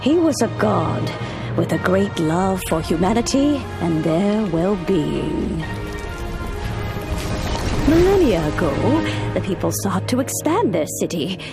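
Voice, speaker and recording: An actress playing an ageless, wise immortal woman in a video game, telling old tales in a solemn, measured, formal way. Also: medium pitch, very animated, music in the background. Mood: reverent storytelling. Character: ageless immortal adeptus woman, wise, stately, nostalgic storyteller. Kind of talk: dramatic video game storytelling.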